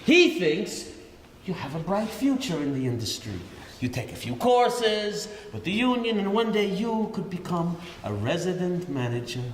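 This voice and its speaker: A man's voice: sits high, big pitch swings, normal speed. A man acting an exasperated working-class father scolding his grown son in a live stage play. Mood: lecturing. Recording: echoey noisy theatre hall recording.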